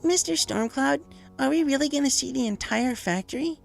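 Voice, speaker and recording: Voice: sits high, normal speed, creaky, soft background music, pitched above the performer's own voice. A narrator voicing an eager, curious young pegasus filly in a storybook reading.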